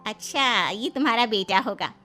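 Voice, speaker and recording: A woman's voice: a little high, lively intonation, moderate pace, bright, forceful tone, faint music behind. A woman voicing a nosy, judgmental middle-aged auntie in a cartoon story, inquisitive and easily surprised. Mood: curious, surprised.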